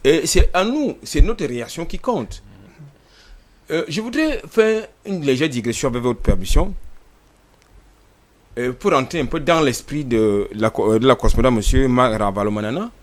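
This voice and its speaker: A man speaking as a panelist in a televised debate, measured, repeating words as he builds his point. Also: mid-range voice, animated.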